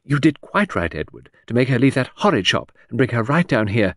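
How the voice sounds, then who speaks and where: A man's voice: mid-range voice, very animated, a little fast, fairly bright tone, no echo, studio quality, voice pushed up. A man voicing a vague, rambling aristocratic older woman in an audiobook, with breathless, trilling delivery. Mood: chattering. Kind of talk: audiobook character voice.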